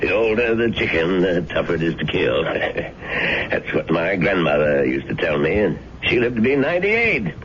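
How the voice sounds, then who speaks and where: Genial, animated low-voiced family doctor. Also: male voice, moderate pace. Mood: genial, curious. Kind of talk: 1970s radio drama acting. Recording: dull radio broadcast with faint noise.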